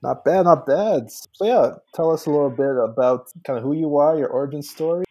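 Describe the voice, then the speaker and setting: A mellow-voiced man hosts a business podcast. His delivery is upbeat and promotional. Faint guitar music plays behind him. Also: a little high, fairly quick, rough recording. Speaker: podcast host. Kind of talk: casual podcast intro and interview.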